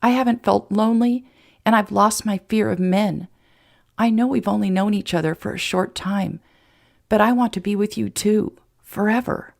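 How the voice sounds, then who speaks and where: A woman's voice: mid-range voice, normal speed, creaky, voice pushed up. A woman voicing a tender, emotional, playful woman in love in an audiobook romance, with heartfelt sincerity.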